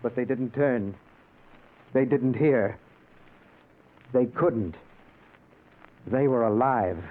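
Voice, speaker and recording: A man's voice: medium pitch, drawn-out words, narrow, tinny audio. An actor playing an anguished dead man who tells his own story, dramatic and intense in 1940s radio style. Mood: haunted, despairing. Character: anguished male ghost recounting his own death. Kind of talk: 1940s radio acting, first-person narration. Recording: thin old radio recording with music.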